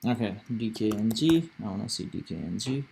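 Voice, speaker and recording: A young man with a fairly low voice talks through stock charts in a casual, meandering way. He thinks out loud, restarting sentences and filling pauses as he goes. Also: sing-song, creaky, a little hiss or hum. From Nepal. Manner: rambling, thinking aloud with many fillers and restarts. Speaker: stock trading streamer. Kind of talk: casual live market commentary.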